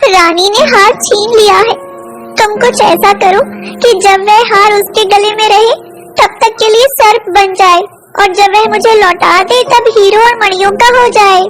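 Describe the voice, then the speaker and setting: Lady doing a meek young bahu from a cartoon folk tale, very high voice, slow and pleading. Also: steady tone, over music. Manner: pleading, deferential.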